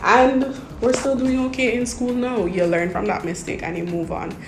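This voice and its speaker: A woman's voice: fairly high pitch, normal speed, echoey room, over music. A Jamaican woman, a medical student, giving earnest, reassuring advice to first-year students and repeating points for emphasis.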